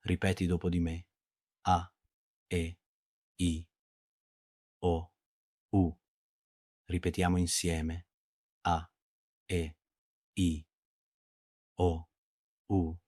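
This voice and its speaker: Italian-accented man, patient teacher, slow and clear, low monotone voice. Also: no echo, clean studio sound. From Italy. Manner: calm, measured, patient teacher delivery. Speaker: language teacher. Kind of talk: slow, clear beginner language lesson.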